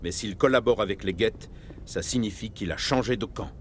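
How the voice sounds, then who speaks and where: A man's voice: fairly low pitch, a little hiss or hum, natural voice. Man playing a grave, commanding starship captain. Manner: grave, measured, authoritative. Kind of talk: dramatic video game dialogue.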